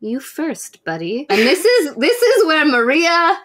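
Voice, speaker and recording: Young female podcast host, higher register, very expressive intonation, giggly casual storytelling. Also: unhurried, slight background noise. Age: young adult. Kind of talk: casual book recap with acted-out dialogue. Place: indoors, room with bookshelves.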